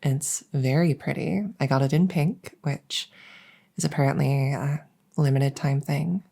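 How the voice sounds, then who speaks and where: A woman speaking gently in a soft ASMR style, close to the mic, sometimes dropping into a whisper. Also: extremely low pitch, steady tone, unhurried, creaky. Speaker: audio roleplay creator. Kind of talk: soft-spoken ASMR introduction. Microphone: close stereo condenser mic.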